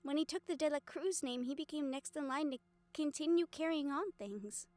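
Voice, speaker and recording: A woman playing a troubled, emotive newborn vampire and former hunter in an audio roleplay, speaking with sighs and pauses. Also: high-pitched, darkish tone, faint music behind, natural voice. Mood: wistful, subdued. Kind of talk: dramatic audio roleplay acting.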